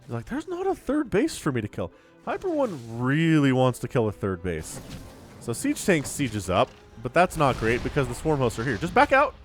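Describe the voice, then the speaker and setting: Animated man casting a strategy game. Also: mid-range voice, normal speed. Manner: chatty, informal, slangy asides. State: sniffly with a cold. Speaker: esports shoutcaster. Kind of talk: casual live game commentary. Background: music.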